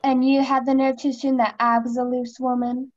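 A woman's voice: sits high, little pitch movement, brisk, dull audio, close to an everyday voice. A woman acting an offended, defensive young guest with a curt manner in a mystery radio play.

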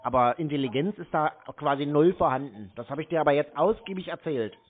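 A man's voice: a little high, lively intonation, a little fast. A man arguing on a phone call in a blunt, mocking, exasperated manner. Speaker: scambaiter taking a cold call. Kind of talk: confrontational phone argument. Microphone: telephone call. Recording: tinny narrow-band phone line.

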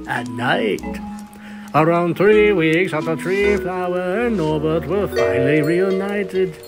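A man reading scripted storybook-style narration of a cartoon story in a theatrical, presenting manner. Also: on the high side. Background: music behind the voice.

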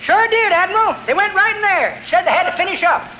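Muffled old radio show, super high thin old-timer, cheerful country yarn spinner. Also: male voice, animated, pushed and bright, exaggerated voice. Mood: cheerfully folksy. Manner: rustic dialect, drawn-out tall-tale telling. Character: cackling folksy old man, cheerful yarn-spinning rascal. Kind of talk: 1940s radio comedy acting. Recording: muffled, noisy 1940s radio recording.

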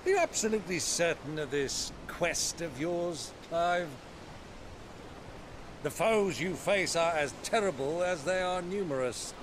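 Slow, high-voiced, hearty uncle. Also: male voice, animated, noisy background. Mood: wry concern. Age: middle-aged. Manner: jovial, grandiloquent. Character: hearty, theatrical gray-bearded warrior uncle. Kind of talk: dramatic video game cutscene acting. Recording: clear sea and ship ambience behind.

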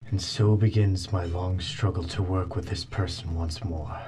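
A formal robot minister, played by a man speaks in a low, husky, mellow voice. He is polite and deferential toward his master, but there is a scheming edge underneath. This is fan-dub drama. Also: fairly even intonation, a little slow.

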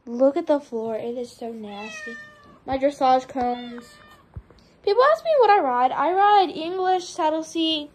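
High-voiced young woman chatting to cats. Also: lively intonation, a little slow. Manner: chatty, giggly, coos at her cats in a high baby voice. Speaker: vlogger. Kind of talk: casual barn tour vlog. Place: indoor barn room with cats. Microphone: phone held in hand.

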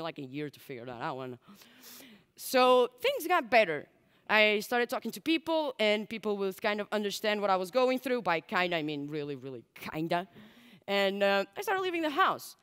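A woman's voice: mid-range voice, fairly bright tone, clean tone. A woman in her twenties, a native Portuguese speaker, giving a candid, chatty conference talk on a large stage through a headset mic. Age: 20s. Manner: candid and chatty, full of likes and asides. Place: large dark stage with audience. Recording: long hall reverb.

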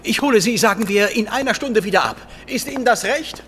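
An actor playing an excitable, blustering police inspector with a husky voice in a German detective radio drama. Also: male voice, high-pitched, fairly quick, a little hiss or hum. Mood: businesslike. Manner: excitable, blustering, stumbling over hard words. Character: flustered, eager male police inspector, easily excited.

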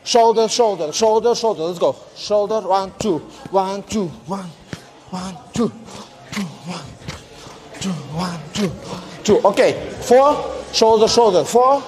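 Coach barking counts. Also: male voice, high voice, slow, a little mellow. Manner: short counting commands in broken English. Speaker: boxing coach. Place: boxing gym ring, indoors.

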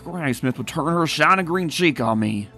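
Bright, folksy cartoon farm pony, man acting. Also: a little high, lively intonation, moderate pace, faint music behind. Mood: weary, wry. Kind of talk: animated comic dub acting.

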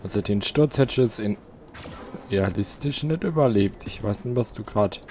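A man's voice: fairly low pitch, moderate pace, slight background noise. Chatty man commenting on a game. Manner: chatty, relaxed, thinking aloud. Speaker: let's play host. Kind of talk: casual gameplay commentary. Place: home, seated on a chair.

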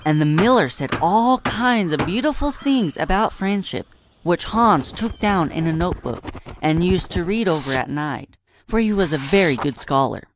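Storybook narrator, mid-pitched voice, scripted tale-telling, noticeable noise in the recording. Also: expressive, normal speed. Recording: clear background noise.

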